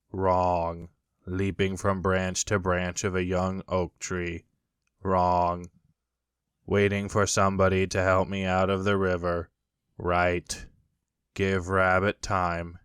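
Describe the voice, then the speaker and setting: Man playing a glum old donkey, low, slow deadpan drawl, dry sarcasm. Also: smooth, no room sound.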